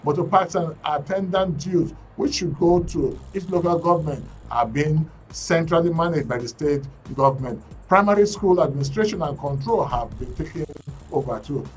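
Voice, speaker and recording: A man's voice: on the high side, normal speed, recorded from a distance, faint music behind. A man with a Nigerian English accent hosting a current affairs show, reading news snippets with frequent fillers and restarts. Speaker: radio and TV current affairs host.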